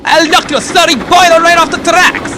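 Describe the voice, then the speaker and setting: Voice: very high voice, steady tone, normal speed, background noise. An actor voicing a menacing big engine villain in a cartoon comic dub, threatening and taunting. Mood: furious, threatening. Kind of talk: animated comic dub acting. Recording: music behind the voice.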